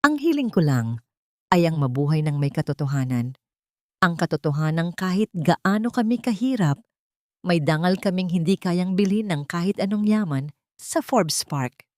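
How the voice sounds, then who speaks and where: A woman narrator plays a proud, wounded young mother in an audio love story. She speaks low, firm and full of dignity, in a dry, close sound. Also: lively intonation, normal speed, no echo, natural voice. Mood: dignified.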